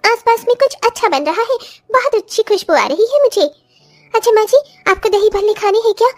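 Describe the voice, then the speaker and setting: A woman's voice: very high voice, animated, fairly quick. A woman voicing an eager, dutiful young village daughter-in-law in a cartoon story, sweet and reassuring. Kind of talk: Hindi cartoon story voice acting.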